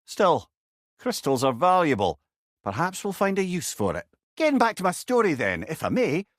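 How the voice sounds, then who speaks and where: A man's voice: on the high side, huge pitch swings, moderate pace, no room sound, studio quality. A man playing a wise, wry old advisor in a video game, a chatty and learned storyteller with a Scottish accent. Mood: wry, musing. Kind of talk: video game character dialogue.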